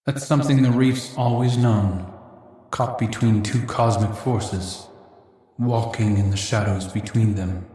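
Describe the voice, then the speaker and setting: An actor playing a gentle, brooding, philosophical hero in a video game, speaking in a soft, measured, pensive way. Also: male voice, on the low side, steady tone, close to an everyday voice. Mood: somber. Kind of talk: dramatic video game monologue. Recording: very echoey, reverberant sound.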